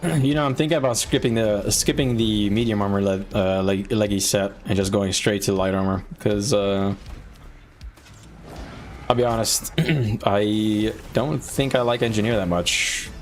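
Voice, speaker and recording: Low-voiced man casually streaming a game. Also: steady tone, a little slow, faint music behind. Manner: rambling, thinking aloud, often clearing throat. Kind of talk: casual live gameplay commentary.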